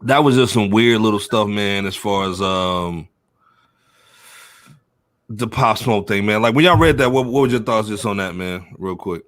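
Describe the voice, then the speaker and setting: A man's voice: lowish voice, normal speed, fairly bright tone, dry recording. An American man hosting a casual hip-hop podcast, steering the chat with slang and joking. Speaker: podcast host steering the discussion.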